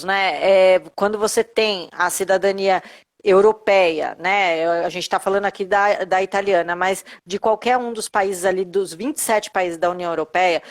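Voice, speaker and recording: A Brazilian woman, a lawyer, explaining things step by step on a live video call, with lots of fillers and tag questions. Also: mid-range voice. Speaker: lawyer guest on Italian citizenship.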